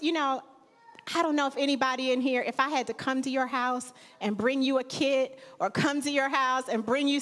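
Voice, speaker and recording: Chatty high-voiced woman hosting on stage. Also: fairly bright tone. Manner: chatty, informal, playful. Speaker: event host. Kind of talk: live event hosting. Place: theater stage. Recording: live hall with long reverb.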